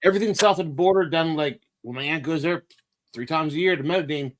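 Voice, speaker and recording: Slangy man with a higher-pitched voice. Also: fast talker. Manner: slangy, profane, interjecting. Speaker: talk show panelist. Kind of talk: casual chat.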